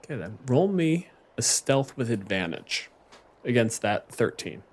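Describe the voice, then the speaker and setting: A man's voice: medium pitch, big pitch swings, a little slow. A man speaking as game master, running a tabletop roleplaying game in conversational narration.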